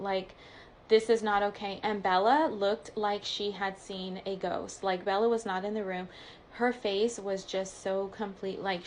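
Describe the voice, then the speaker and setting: A woman with a medium-pitched voice recounts a personal story as a vlogger would. Her delivery is casual and confessional, full of colloquial phrasing. She dramatizes reported conversations, voicing each side as she goes. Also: a little hiss or hum.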